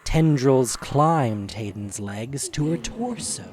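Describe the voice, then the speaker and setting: A man narrating an audio drama in a deliberate, expressive, dramatic storytelling style. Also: medium pitch.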